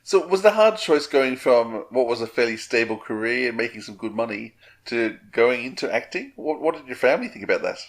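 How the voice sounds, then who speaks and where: A man's voice: medium pitch, animated, fairly quick. A man co-hosting a podcast and putting casual questions to a guest. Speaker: podcast co-host.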